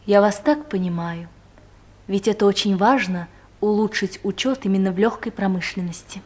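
A woman playing a brisk, pert young secretary in a radio comedy drama, businesslike and slightly arch. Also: mid-range voice, darkish tone, close to an everyday voice. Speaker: actor in an audio play.

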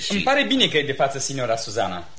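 An actor playing an ardent young gentleman in a classic radio theatre comedy, formal and effusive in manner. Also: mid-range voice, huge pitch swings, a loud crowd. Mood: courteous.

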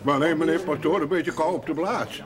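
A man acts a stubborn village husband in a 1970s television drama. His voice sits slightly high and his talk is colloquial and dismissive. There is clear bustle behind him. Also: a little fast, a crowd in the background, lightly acted. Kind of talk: naturalistic television drama dialogue. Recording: lively background sounds, 1970s television soundtrack.

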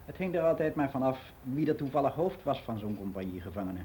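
Male actor as older man giving frank, matter-of-fact radio play monologue; soft dark tone, slightly noisy recording. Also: mid-range voice, animated, fast, natural voice. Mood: matter-of-fact, reminiscing. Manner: matter-of-fact, rambling, candid.

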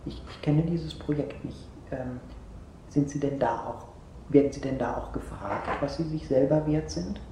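Man acting as therapist, radio drama, mellow tone, measured and probing, roomy recording, faint background noise. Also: mid-range voice, close to an everyday voice. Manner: probing, halting questions with small acknowledgements. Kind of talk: naturalistic radio drama dialogue.

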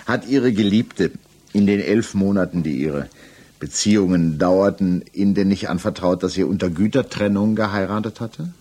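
Stern german radio play magistrate, low kinda husky voice, formal, keeps pressing with questions. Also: male voice, normal speed, warm tone. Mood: pressing, sceptical. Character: stern, probing male examining magistrate, insistent and formal.

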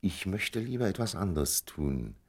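An urbane older confidant in a radio drama. His voice is low and husky, his tone dry and gently ironic, with lively turns of intonation. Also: a little slow, heavily acted. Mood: dry, reserved. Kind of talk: German radio play acting.

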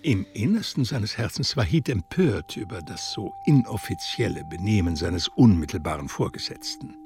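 A man with a gravelly voice narrating a literary story for a radio drama in an expressive storytelling manner. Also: on the low side, moderate pace, soft background music.

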